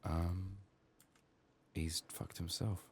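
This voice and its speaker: A soft-spoken man giving ASMR video game commentary, often slipping into whispers, his voice strained from being sick. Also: deep voice, little pitch movement, very slow, slight background noise.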